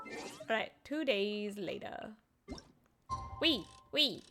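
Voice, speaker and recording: A woman's voice: mid-range voice, lively intonation, very slow. A woman game streamer giving casual, playful commentary and musing aloud as she plays. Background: game music.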